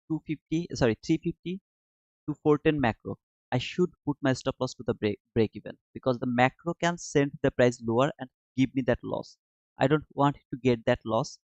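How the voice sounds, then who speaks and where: A man's voice: medium pitch, darkish tone, no echo. A man from Bangladesh commenting casually on his live trading chart and chatting with viewers in the chat. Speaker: trading streamer. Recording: dull lo-fi dry sound.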